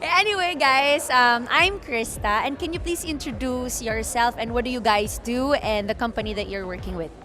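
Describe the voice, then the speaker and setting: Woman, Filipino accent, very high voice, playful host, mixes English and Tagalog, loud music behind. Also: moderate pace, hardly any pauses. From Philippines. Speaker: interview host. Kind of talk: lively event interview. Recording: music playing loudly behind.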